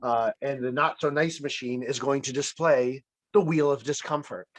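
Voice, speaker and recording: A man's voice: medium pitch, normal speed. A man hosting a casual game show over a video call, playful and teasing, with rambling restarts. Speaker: host.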